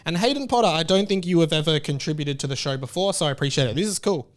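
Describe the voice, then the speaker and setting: A man, hosting a solo podcast in an excitable, rambling way. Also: fairly high pitch, fast talker. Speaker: podcast host.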